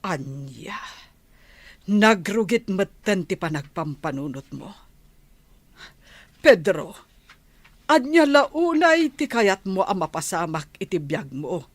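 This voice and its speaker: Casting: woman as worried Ilocano mother, radio play, lowish voice, very unhurried, swooping intonation. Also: animated, no echo. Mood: anxious pleading. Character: worried, careful village mother and wife. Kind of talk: Ilocano radio drama acting.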